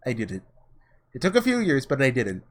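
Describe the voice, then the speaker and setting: A man's voice: mid-range voice, huge pitch swings, fast talker, voice pushed down. A man voicing a nervous, determined young woman's earnest inner monologue from a visual novel. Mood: nervous, resolved.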